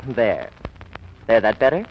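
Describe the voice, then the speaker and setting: A man's voice: on the low side, very animated, unhurried, lo-fi sound. An actor playing a casual, teasing young man in 1940s radio acting style. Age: young adult. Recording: lightly noisy vintage radio recording.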